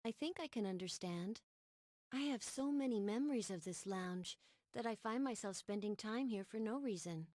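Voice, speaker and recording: A woman playing a gentle, earnest android girl in a video game, speaking politely and with measured hesitation. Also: mid-range voice, lively intonation, dry recording, studio quality, close to an everyday voice. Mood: wistful. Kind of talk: scripted video game cutscene acting.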